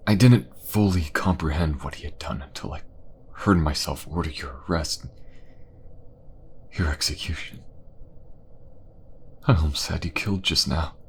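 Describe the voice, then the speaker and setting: Fantasy king played by a man, extremely low voice, formal and earnest, devoted father heavy with remorse, noisy backdrop. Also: moderate pace, long pauses. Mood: anguished, remorseful. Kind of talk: fantasy audio drama acting.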